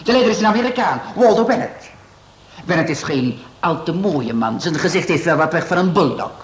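A talkative theatre manager, played by a man in a radio play, gossiping in a lively, animated voice. The room sounds echoey, with a faint hiss underneath. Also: on the high side, a little fast, lightly acted. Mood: chatty. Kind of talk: Dutch radio drama acting.

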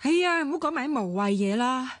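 A high-voiced, tipsy young woman. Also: expressive, quick pace, no echo. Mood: pleading. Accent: Hong Kong Cantonese. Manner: emphatic, exclaiming, insistent. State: slightly drunk. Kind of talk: radio drama acting.

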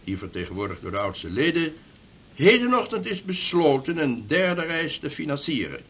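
An actor playing a dignified, ceremonious civic dignitary in a historical radio drama, with formal and courteous speech. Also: male voice, a little high, sing-song, moderate pace, a bit bright, a little hiss or hum. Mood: formal, pleased.

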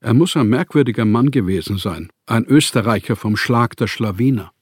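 An actor playing a proud, melancholy, rootless writer who speaks deliberately and reflectively in a radio play. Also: male voice, medium pitch, animated, slightly rough, no echo, clean studio sound. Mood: wistful, reflective. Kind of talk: autobiographical radio play monologue.

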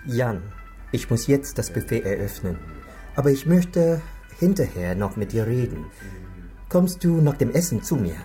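Polite gang boss in a radio play, lively intonation, music behind. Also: male voice, mid-range voice, unhurried. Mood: solemn. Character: smooth, formal male new crime boss. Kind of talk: radio crime drama acting.

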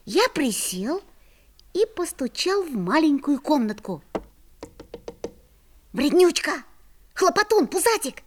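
Young child narrator, very high, animated, eager, storybook audio play, clear background noise. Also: slow, long pauses, full character voice. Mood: storytelling.

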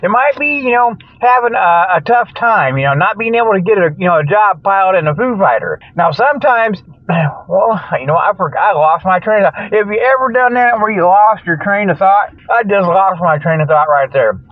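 High-pitched folksy older man, dull radio sound. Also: lively intonation, moderate pace, no echo. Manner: folksy, jokey, chuckles at own jokes, loses his train of thought. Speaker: host of a comic radio show. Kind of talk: rambling tongue-in-cheek radio monologue with mock sponsor plugs.

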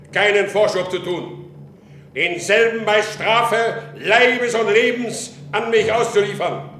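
An actor playing a wronged horse dealer turned vengeful rebel leader in a radio play, declaiming and commanding. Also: male voice, high-pitched, bright, forceful tone, echoey room, slight background noise. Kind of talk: German radio play acting.

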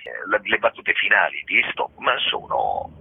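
A man's voice: sits low, very animated, unhurried, creaky, breathy edge. A man explaining things conversationally in a radio interview, in a discursive, emphatic way with rhetorical questions and repetition. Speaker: theatre practitioner being interviewed. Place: phone call into a radio programme. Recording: muffled, thin telephone line on radio.

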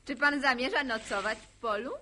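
An actress playing a polite, composed village schoolteacher in a radio drama, speaking courteously with gentle, educated diction. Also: female voice, a little high, a little fast, a little hiss or hum. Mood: friendly, teasing. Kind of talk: naturalistic radio drama acting.

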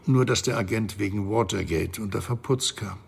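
An actor playing a shady, condescending crime boss in a radio thriller, with a husky, gravelly voice and a smug, wordy manner. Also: male voice, on the low side, moderate pace, heavily acted. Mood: smug, businesslike. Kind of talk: radio crime drama acting.

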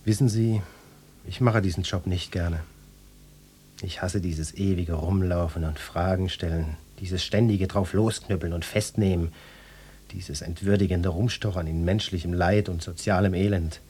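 A self-important, lecherous detective from a satirical radio drama. His voice is low and somewhat rough, and he puts his questions in flowery officialese, heavy with innuendo. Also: steady tone, moderate pace, mellow. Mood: weary, self-important. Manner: florid, officious, insinuating questioning. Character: pompous, nosy, lecherous police detective interrogating a housewife. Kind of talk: German satirical radio play acting.

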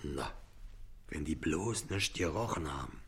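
A man's voice: rumbling low, lively intonation, a little slow, vocal fry, breathy edge, exaggerated voice. An actor playing a sly, stubborn working-class boatman in a radio play, folksy and evasive, with a Berlin accent. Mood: folksy, matter-of-fact. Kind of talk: radio play dialect comedy acting.

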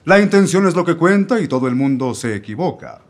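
A man's voice: fairly high pitch, very animated, brisk. A man with a Mexico City accent playing a fussy, theatrical defense lawyer. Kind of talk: comedic radio play acting.

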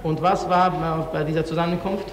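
An actor playing a formal, probing courtroom examiner in a radio drama. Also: male voice, on the high side, steady tone, brisk, close to an everyday voice. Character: male courtroom examiner questioning a witness. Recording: echoey hall with faint noise.

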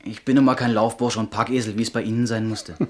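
A man playing an eager, cheeky young ship's boy in a 1970s radio drama, excited, chatty and boastful. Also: on the low side, quick pace, close to an everyday voice.

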